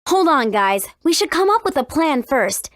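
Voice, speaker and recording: Game heroine: spirited, bossy teen girl, high and animated, wry edge. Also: moderate pace, no room sound. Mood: matter-of-fact. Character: spirited, confident, bossy teenage girl adventurer. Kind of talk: video game character acting.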